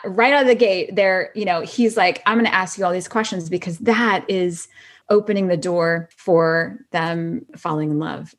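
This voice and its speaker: A woman chatting casually as a podcast guest, enthusiastic and agreeable in a conversational way. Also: medium pitch. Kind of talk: casual chat.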